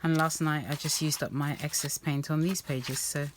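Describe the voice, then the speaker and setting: A woman with a low voice talks softly while she crafts, drifting through thoughts with ums and restarts. Her voice sounds a little croaky. A faint hiss sits under the recording, with quiet pen scratches. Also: steady tone, moderate pace. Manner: rambling, soft-spoken, many ums and restarts. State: slightly croaky voice. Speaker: art journaling vlogger. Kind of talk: casual craft-along chat.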